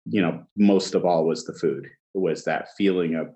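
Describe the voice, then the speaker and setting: A man from Egypt, a chef, telling his backstory in a casual interview, halting with many fillers. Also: low-pitched, warm tone, highs cut off. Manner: halting, many fillers like "you know", laughs often. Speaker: chef, guest.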